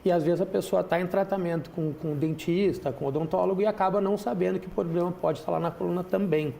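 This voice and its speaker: A man's voice: high-pitched, steady tone, fast talker, gravelly, mellow, slight background noise. A middle-aged man from Brazil, a chiropractor guest on a TV talk show, explaining patiently with frequent restarts and tag questions. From Rio Grande do Sul, Brazil. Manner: didactic, many restarts and "né" tags. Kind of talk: explanatory interview answers. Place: TV studio.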